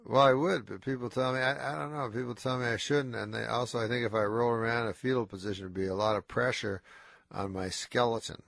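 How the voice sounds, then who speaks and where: A tired, stuffed-up man with a nasal voice, speaking as a guest in a casual radio interview in a slurred, low-energy, deadpan way. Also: fast talker, vocal fry, dry recording.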